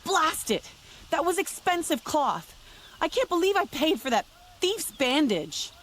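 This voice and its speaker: A woman playing an excitable, indignant cloth merchant in a video game, with an emphatic way of talking. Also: sits high, unhurried, a little hiss or hum, heavily acted. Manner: emphatic, exclaiming.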